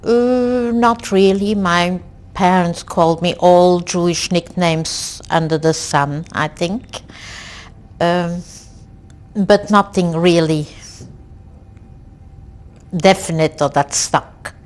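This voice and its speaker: A woman in her sixties from Belgium speaks in an unhurried, low-set voice. She tells her family's story in an oral history interview. She pauses and restarts often, reflective. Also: steady tone. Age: 60s. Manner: slow, reflective storytelling with frequent hesitations. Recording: archival 1990s interview tape.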